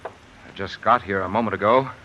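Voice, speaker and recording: An actor playing an anxious, hesitant university professor in an old radio drama, speaking haltingly over rain. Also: male voice, fairly low pitch, sharp tone, lo-fi sound, slight background noise. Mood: nervous, guarded. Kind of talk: 1950s radio drama acting. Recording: old 1950 radio broadcast, rain behind.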